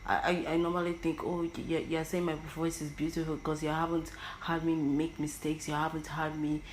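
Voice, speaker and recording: A young woman with an Igbo accent talks alone into the mic in a personal monologue. Her voice sits very low for a woman, and she often stumbles and repeats words as she thinks aloud. A faint hiss of background noise runs under the recording. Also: flat delivery, brisk. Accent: Nigerian Igbo. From Igbo land, Nigeria. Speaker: podcast host.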